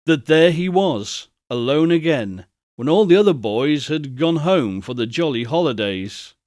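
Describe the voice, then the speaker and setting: A man giving an expressive, measured dramatic reading of a literary story as narrator. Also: fairly high pitch, no echo, clean studio sound.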